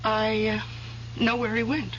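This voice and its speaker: An actress playing a devoted young wife in a 1970s radio drama, speaking hesitantly and stammering. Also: female voice, a little high, little pitch movement, slow pace, fairly bright tone. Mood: earnest. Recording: muffled old radio broadcast with hiss.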